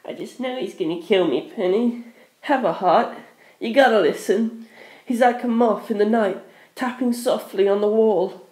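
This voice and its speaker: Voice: mid-range voice, moderate pace, a little mellow, roomy sound, voice pushed up, close to an everyday voice, rough recording. A narrator voicing a shaky, pleading young man. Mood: scared, pleading. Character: terrified, begging young man, self-absorbed.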